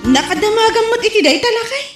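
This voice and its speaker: A woman's voice: very high-pitched, animated, fast talker, roomy sound, music in the background. A woman playing a gossiping neighbor in a comedic audio drama, sounding scandalized.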